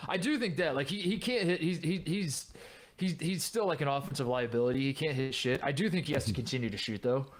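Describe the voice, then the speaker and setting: A man's voice: on the high side, fast. Rambling casual sports podcast guy. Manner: rambling, restarts, swears casually. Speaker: podcast co-host.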